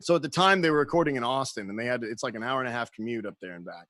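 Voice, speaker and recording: A man telling band stories in casual chat, animated and profane, acting out quotes as he goes. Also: medium pitch, racing, no echo, studio quality. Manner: animated storyteller, acts out quotes, swears freely. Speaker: guest musician.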